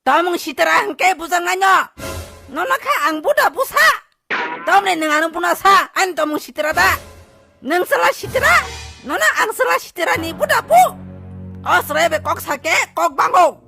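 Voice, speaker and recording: A woman voicing an excitable, squeaky cartoon character with an animated, exclamatory delivery in a dub. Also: moderate pace, vocal fry, exaggerated voice. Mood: animated bickering. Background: faint music.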